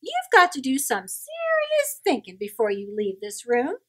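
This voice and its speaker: A woman's voice: high voice, wildly swooping pitch, a little slow, a bit bright, pitched above the performer's own voice, heavily acted. A woman voicing a scolding, exasperated mother cat from a picture book, lecturing sternly and emphatically.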